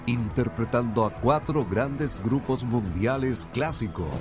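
A man doing scripted radio promos and station announcements with a slow, emphatic delivery. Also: medium pitch, over loud music.

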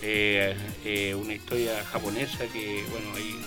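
An older Chilean man from a small coastal town, talking about his amateur theatre work in a halting, rambling way, with many restarts. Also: on the low side, level tone, over music. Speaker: guest, local amateur actor. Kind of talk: casual interview chat.

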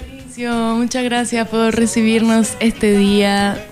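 A woman's voice: fairly high pitch, fairly even intonation, measured pace, a little mellow, faint music behind. A woman from Santiago, a circus performer talking as a radio guest in a friendly way. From Santiago, Chile. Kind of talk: guest interview.

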